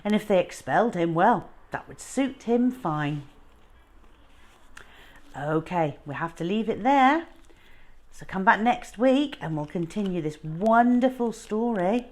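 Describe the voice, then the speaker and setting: A woman hosting a children's story time, narrating expressively with deliberate, dramatic phrasing. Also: medium pitch, lively intonation, a little mellow.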